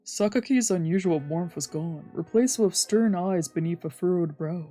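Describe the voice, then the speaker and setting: Woman streamer reading a visual novel out loud, bit low voice, quiet music under it. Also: moderate pace. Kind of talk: scripted first-person narration read aloud. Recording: music behind the voice.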